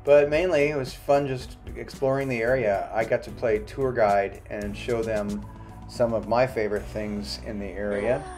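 A man narrating his motorcycle travel vlog in a relaxed, chatty voice-over. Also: fairly low pitch, moderate pace, faint music behind.

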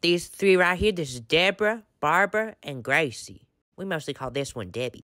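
A woman's voice: low-pitched, animated, normal speed, a bit bright, no room sound, clean studio sound. A woman voicing a chatty, friendly male horse farmer with a Southern accent in a pretend-play story, folksy and effusive.

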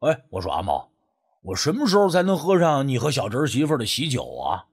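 A male narrator acts out a sly, wheedling buddy from an audio ghost story. His low, husky drawl teases and coaxes, with very animated intonation over music. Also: brisk. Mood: teasing, sly. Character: grinning sly male mooching friend, superstitious, teasing.

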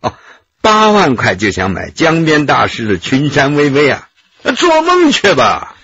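An actor playing a glib, slippery art dealer under questioning, husky, wordy and wheedling, in a radio drama. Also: male voice, fairly high pitch, huge pitch swings, measured pace, fairly bright tone, no room sound, lo-fi sound, full character voice. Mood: defiant, cocky. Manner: wordy, wheedling, self-justifying. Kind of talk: Chinese radio drama acting.

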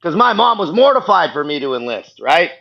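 Blunt guy with a gravelly voice, laughs at himself, dull call audio. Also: on the high side, big pitch swings, brisk. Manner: blunt, self-mocking, laughs often. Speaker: guest. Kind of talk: candid storytelling interview. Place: living room at home. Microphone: video call.

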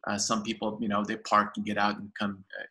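A man's voice: on the low side, completely flat delivery, a little fast, bright, forceful tone. A man in his fifties, a market manager, talking eagerly over a video call with many fillers and restarts. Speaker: farmers market general manager. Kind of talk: casual video-call interview. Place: home room with shelves, indoors. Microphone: laptop webcam on video call.

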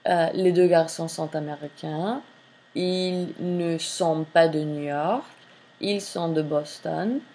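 A woman's voice: fairly low pitch, vocal fry, a little mellow. A woman French teacher, reading textbook lines aloud and explaining them in English during a screen-recorded lesson. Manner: reads French lines then translates, slow and deliberate.

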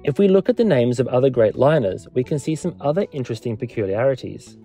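A man's voice: medium pitch, animated, fast talker, mellow. A man presenting a scripted history documentary as a narrator and lecturer. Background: background music.

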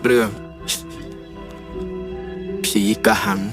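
A man voicing a bossy, scornful schoolboy ringleader in a cartoon, speaking haltingly in rude, casual slang. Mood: scornful boasting. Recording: music behind the voice. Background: clear background music.

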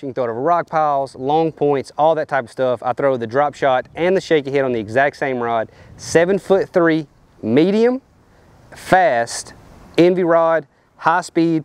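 A man's voice: a little high, clean tone, no echo. A man, casually explaining fishing gear outdoors on a lapel mic, loose and rambling with lots of fillers. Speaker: professional bass angler. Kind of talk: casual fishing tips to camera. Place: outdoors on a riverbank and boat deck.

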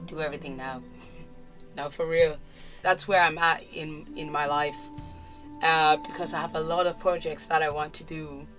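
A young woman from Zimbabwe, a musician, giving relaxed, thoughtful interview answers in a casual way, recorded indoors in a studio. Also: low voice, normal speed, soft background music. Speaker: musician guest. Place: indoor studio with clothing racks.

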